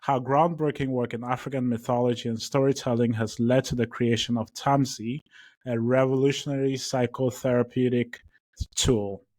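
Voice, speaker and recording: Halting man hosting a podcast. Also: mid-range voice, fairly even intonation, darkish tone. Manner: upbeat but halting, with many fillers. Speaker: podcast host. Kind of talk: scripted introduction and interview questions.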